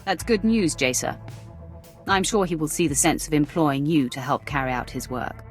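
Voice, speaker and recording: Sith warrior, deep female voice, composed, steely, confident, formal game dialogue. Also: animated, normal speed, soft background music. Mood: composed, assured. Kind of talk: video game dialogue acting.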